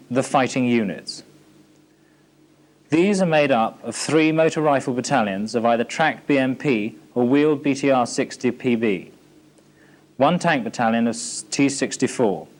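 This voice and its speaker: A man's voice: medium pitch, normal speed, a little mellow. Formal British male military film narrator. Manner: formal, matter-of-fact. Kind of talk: scripted instructional narration. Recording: 1980s archive training film soundtrack.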